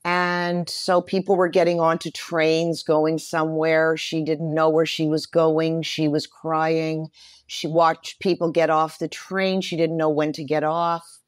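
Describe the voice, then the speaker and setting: A woman's voice: low-pitched, little pitch movement, measured pace, no room sound, clean studio sound. A middle-aged woman speaking as an interview guest, telling personal stories expressively, repeating phrases for emphasis. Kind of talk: personal storytelling in conversation.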